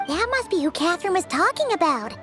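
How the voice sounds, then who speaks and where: A tiny floating fairy companion, bubbly and greedy, talks in a high squeaky childlike voice. Her pitch leaps all over as she chatters excitably. Game music plays behind her. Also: fast. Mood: chatty. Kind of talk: video game voice acting.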